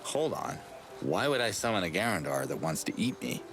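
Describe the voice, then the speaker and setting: A sardonic easygoing game hero, really low male voice, music under it. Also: very animated, moderate pace, fairly bright tone. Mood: skeptical. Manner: dry, sardonic. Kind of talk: video game cutscene dialogue. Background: background music.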